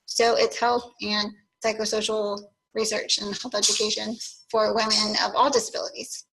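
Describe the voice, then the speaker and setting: A woman from Texas who works in research, introducing herself with many pauses and ums. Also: mid-range voice, level tone, fairly bright tone, husky, poor audio. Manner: hesitant, lots of ums. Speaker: health researcher. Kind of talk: self-introduction.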